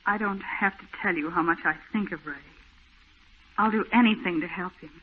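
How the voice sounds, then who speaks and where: A woman's voice: lowish voice, brisk, natural voice. Actress as a young woman in love, worried and earnest, old radio drama, tinny. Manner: anxious, earnest, emotional. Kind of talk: 1940s radio acting. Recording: tinny old radio broadcast with faint hiss.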